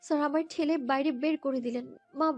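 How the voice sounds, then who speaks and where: A woman narrating a romantic story in the first person, in a girlish, expressive, dramatised way. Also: sits high, fairly quick, no room sound. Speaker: narrator and lead voice actor. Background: background music.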